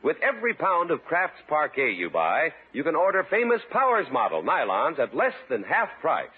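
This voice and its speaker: A man's voice: fairly high pitch, huge pitch swings, normal speed, husky, phone-quality sound. An American man announcing and reading ads in scripted 1950s radio style. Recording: thin old radio recording with loud music. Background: loud music.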